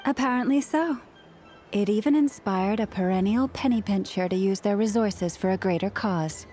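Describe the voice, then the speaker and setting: A woman's voice: medium pitch, normal speed, warm tone, soft background music, natural voice. A woman voicing a composed, courteous young woman in a fantasy video game.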